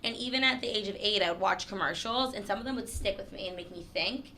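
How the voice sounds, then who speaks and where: A woman's voice: mid-range voice, quick pace, sharp tone, slight background noise, low-quality recording. A woman in her twenties from New Hampshire, a guest chatting casually on a podcast, with lots of "like" fillers. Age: 20s. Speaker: guest, creative director.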